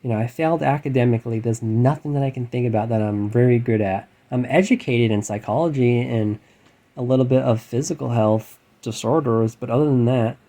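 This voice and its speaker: A man in his thirties talks in a light, androgynous voice pitched right in the middle. He rants in a frustrated, self-deprecating way, hushed because it is night, with sudden loud bursts. He keeps restarting his sentences and letting them trail off. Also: fairly quick. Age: 30s. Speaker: musician and vlogger. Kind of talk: rambling late-night rant to camera.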